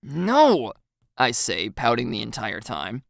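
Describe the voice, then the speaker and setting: A woman's voice: extremely low pitch, lively intonation, normal speed, gravelly, a bit bright, dry recording, studio quality. A woman reading a scripted first-person story as its narrator.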